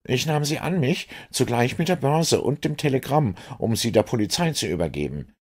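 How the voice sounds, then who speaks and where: A blunt, skeptical inspector , as a man puts him on, talks in a raised, somewhat strained voice. He lays out facts flatly and with a hint of scorn, in a storybook reading. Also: mid-range voice, moderate pace, husky, pitched above the performer's own voice. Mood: dismissive.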